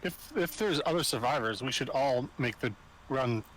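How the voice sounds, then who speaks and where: A man's voice: mid-range voice, animated, normal speed, low-quality recording. A man talking casually as a player in a tabletop roleplaying game. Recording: noticeable background noise.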